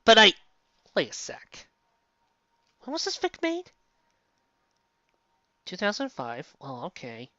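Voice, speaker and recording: A woman's voice: medium pitch, wildly swooping pitch, takes it slowly, long pauses, pushed and bright, no echo, muffled recording. A woman giving casual, unscripted fan fiction commentary, incredulous and exasperated, often stopping to rephrase and asking rhetorical questions.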